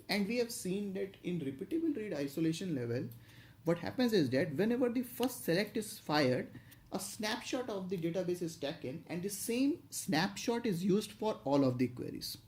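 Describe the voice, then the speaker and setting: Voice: mid-range voice, warm tone, slight background noise. An instructor narrating an explanatory tutorial, going step by step with some hesitation and repetition.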